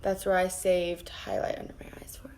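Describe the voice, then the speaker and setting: A woman with a raspy voice, chatting casually with her viewers while she does her makeup at her vanity at home. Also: fairly low pitch, brisk, a little mellow, in a whisper. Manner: chatty, greets viewers by name and rambles while working. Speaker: makeup vlogger. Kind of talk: casual live-streamed makeup tutorial. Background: faint background music.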